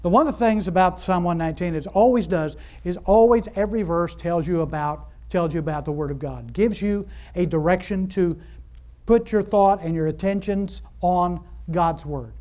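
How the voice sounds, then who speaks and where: A man delivering a sermon in a rambling, chatty way, often restarting his sentences and stopping to ask his listeners questions. His voice sits high for a man, and he builds to excited, exclaiming stretches. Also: normal speed. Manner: rambling, conversational, frequent restarts and fillers. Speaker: pastor.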